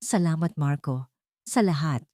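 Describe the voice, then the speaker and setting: A woman's voice: low-pitched, measured pace, mellow, no room sound, studio quality. A woman narrator voicing a wounded, soul-searching woman in a story, earnest and confiding. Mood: resolved, tender.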